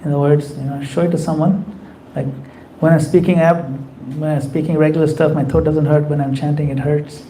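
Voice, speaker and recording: A man leading a devotional class and answering questions in an earnest, kindly advising manner. His voice sits a little high and sounds mellow. There is a faint hiss behind him. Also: level tone, fairly quick, few pauses, rough recording. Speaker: class leader taking questions. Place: community temple class.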